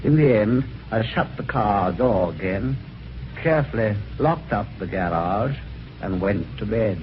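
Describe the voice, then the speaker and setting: Animated, well-mannered British husband. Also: male voice, on the low side, measured pace, soft background music. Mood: puzzled, reflective. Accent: British English. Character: well-mannered, slightly dim English husband recounting a mystery. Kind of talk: old-time radio mystery acting, narration and dialogue. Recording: dull, faintly noisy vintage radio recording.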